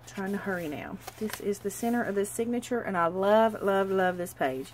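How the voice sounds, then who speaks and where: A woman, stuffy from a cold, chatting quickly and gushingly as she shows a handmade journal at her craft table. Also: medium pitch, a little hiss or hum, low-quality recording. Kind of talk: casual craft walkthrough.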